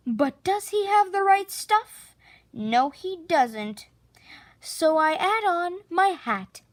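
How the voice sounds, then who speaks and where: A woman narrating a children's picture book expressively, with theatrical, drawn-out emphasis on key words. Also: high-pitched, no room sound. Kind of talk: expressive children's storybook read-aloud.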